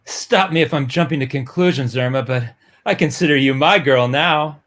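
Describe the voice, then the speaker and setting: A man's voice: mid-range voice, normal speed, fairly bright tone, lightly acted. A man acting a cocky, smitten young small-town boyfriend in a dramatic romance comic reading. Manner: breezy, old-fashioned slangy.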